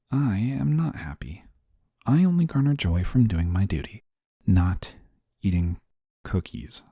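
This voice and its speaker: A stern, dutiful male guardian angel, acted by a man in an intimate audio roleplay. His voice is low, soft-toned and husky. He speaks formally, with a prim reserve that easily turns flustered. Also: dry recording, studio quality, full character voice. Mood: stubborn denial. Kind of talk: scripted audio roleplay, intimate one-sided dialogue.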